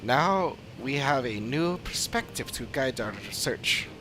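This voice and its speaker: A man voicing a measured, formal investigator in fantasy game dialogue, speaking deliberately. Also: medium pitch, lively intonation, music in the background, rough recording. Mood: analytical. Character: measured fantasy investigator piecing clues together. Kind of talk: scripted game dialogue reading.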